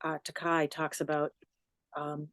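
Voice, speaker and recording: Low-voiced woman telling stories. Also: measured pace, dry recording, clean studio sound. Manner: warm storytelling, animated asides. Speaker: journalist and podcast host. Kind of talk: relaxed interview answers.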